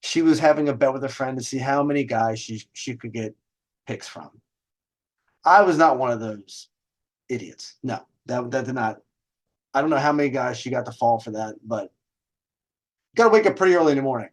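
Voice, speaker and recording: Middle-aged male host, medium pitch, casual storytelling, rambling asides, informal and salty. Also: moderate pace, pauses often. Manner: informal, swearing, conversational asides. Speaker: host of a dating and lifestyle video segment.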